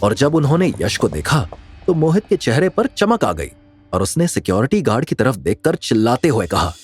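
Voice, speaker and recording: A man narrating a scripted drama story in an expressive storytelling style. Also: medium pitch, moderate pace, slightly rough.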